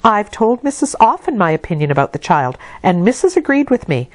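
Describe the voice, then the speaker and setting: A woman's voice: mid-range voice, big pitch swings, a little fast, dry recording, pitched above the performer's own voice. A woman voicing a stern, sanctimonious lady's maid in a storybook, scolding in a prim manner. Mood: disapproving.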